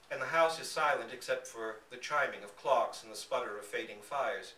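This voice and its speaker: A man giving an expressive, theatrical reading of a literary story aloud. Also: medium pitch. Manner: theatrical, dramatic emphasis. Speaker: reader at a live literary reading. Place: indoor public reading in a bookstore. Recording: roomy, distant mic, faint background noise.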